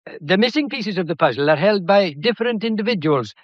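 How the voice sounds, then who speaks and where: An actor playing a shrewd, methodical police detective in a British period mystery drama, courteous and lecturing, with a faintly theatrical delivery. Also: male voice, high voice, animated, fast talker, no echo, dull audio. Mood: measured, lecturing. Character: shrewd, methodical male police detective laying out a murder case.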